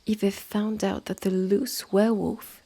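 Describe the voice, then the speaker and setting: A woman with a French accent playing a haughty, territorial witch's sister in a roleplay audio drama, talking in a measured, condescending way with breathy drops. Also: mid-range voice, level tone, mellow. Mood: proud, ominous. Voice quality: husky, breathy. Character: haughty, territorial witch's sister running a secretive woodland hotel.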